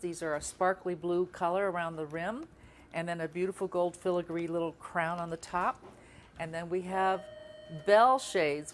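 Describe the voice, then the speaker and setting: A woman presents store products informally, live on a show. Her voice sits a little low for a woman, and there is faint noise in the recording. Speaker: store presenter doing commercial segments.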